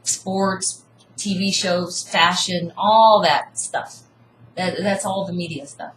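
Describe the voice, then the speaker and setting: A woman with a slightly low voice argues earnestly and presses her point like a sermon. The room echoes, and a faint hiss sits underneath. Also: fairly even intonation, slow pace, a bit bright. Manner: earnest, insistent, preachy about seeking truth. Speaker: co-host debating. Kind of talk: casual argument.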